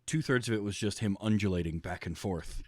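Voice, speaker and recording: A man's voice: fairly low pitch, a little fast, darkish tone, no room sound, studio quality. A man talking casually about films as a podcast host. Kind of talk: casual movie discussion.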